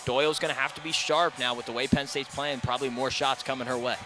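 A man's voice: mid-range voice, quick pace, over music. Man giving hockey color analysis. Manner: relaxed analytical, starts lines with "yeah". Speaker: color commentator.

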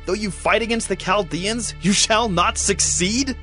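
High, forceful, accusing ancient king. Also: a little slow. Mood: indignant, accusing. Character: indignant ancient king confronting a prophet. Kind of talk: dramatized scripture acting. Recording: music behind the voice.

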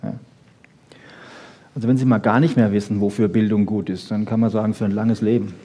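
A man lecturing freely on popular science to an audience, emphatic, with rhetorical questions and frequent checking tags, in a slightly husky voice. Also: fairly low pitch, steady tone, fairly quick, dark tone.

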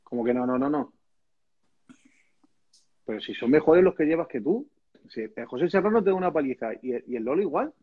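A man's voice: a little high, animated, a little fast, pauses often, husky. A man, co-hosting a casual live stream from home and teasing his friend in a mock-serious way while reading viewer comments aloud. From Madrid, Spain. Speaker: percussionist, live stream co-host. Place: indoors, small room at home. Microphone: phone or laptop camera, video call. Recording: slightly dull, lo-fi stream audio.

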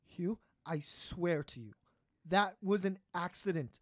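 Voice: medium pitch, expressive, slow, no room sound, clean studio sound. An actor playing an earnest, hesitant astronaut trying to win back an old friend in an audio drama. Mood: pleading, remorseful.

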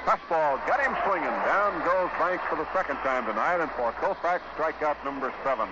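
A man calling baseball play-by-play in 1950s radio style, in measured, clipped phrases with pauses. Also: on the high side, expressive. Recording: noisy, dull vintage 1950s radio broadcast.

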